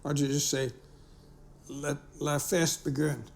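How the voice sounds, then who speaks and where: A man who speaks Danish fluently, coaching a friend patiently and with gentle amusement. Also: fairly high pitch, little pitch movement, a little slow, gravelly, a little hiss or hum. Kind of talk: casual chat.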